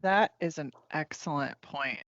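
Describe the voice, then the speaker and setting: A woman's voice: low voice, a little slow, fairly bright tone, no room sound, dull audio. A woman hosting a conversational panel discussion, engaged and prompting others with questions. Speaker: podcast host.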